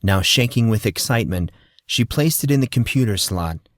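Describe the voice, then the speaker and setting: A man narrating a fantasy audiobook in steady, measured storytelling. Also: on the low side, no room sound, studio quality.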